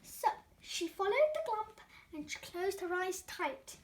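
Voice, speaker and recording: A girlish female storyteller reads a picture book aloud. Her voice is very high and her delivery is expressive. The recording has faint background noise and small clicks. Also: measured pace. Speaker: storybook reader and presenter. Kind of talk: expressive children's story reading.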